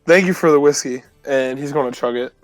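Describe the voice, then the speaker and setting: A man's voice: medium pitch, a little fast, low-quality recording. A man chatting casually as a player in a tabletop game. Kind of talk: casual game chat, playing along. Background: music.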